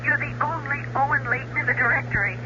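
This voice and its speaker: Muffled old radio recording, actress playing insistent young American woman, very high voice, dramatic. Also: fast talker, close to an everyday voice. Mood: impatient, indignant. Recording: muffled noisy radio recording, telephone scene.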